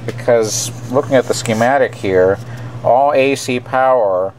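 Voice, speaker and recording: A man's voice: medium pitch, poor audio. A man casually and matter-of-factly explaining a hands-on repair in an unscripted way as he works at an indoor bench. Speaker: radio repairer presenting his work. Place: indoor workbench. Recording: steady hum and room noise.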